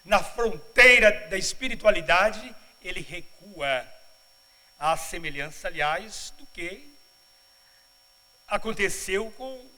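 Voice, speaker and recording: A middle-aged man from Bahia, Brazil, giving a spiritual lecture at a congress, mixing humorous asides with measured, didactic explanation. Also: sits high, expressive, bright, forceful tone, rough recording. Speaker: congress speaker. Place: congress auditorium.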